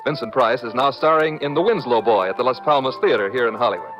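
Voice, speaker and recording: A man's voice: medium pitch, fast talker. Old time radio announcer guy, formal delivery, music behind him, muffled recording. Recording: dull vintage radio broadcast recording.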